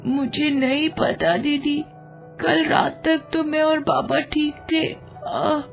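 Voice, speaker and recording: A woman's voice: high-pitched, creaky, pitched above the performer's own voice, full character voice. A woman voicing a frightened young girl suddenly turned old, speaking weakly and tearfully. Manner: weak, tearful, quiet. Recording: dull lo-fi audio, faint music.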